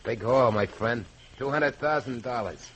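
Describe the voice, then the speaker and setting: A tough, dismissive American gangster, played by a male actor in an old radio crime drama. He talks curtly at a medium pitch. Faint noise sits on the vintage recording. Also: measured pace, fairly bright tone, lightly acted, low-quality recording. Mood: confident, brisk. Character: hard-boiled male robber, cold, impatient ringleader. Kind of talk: 1940s radio acting.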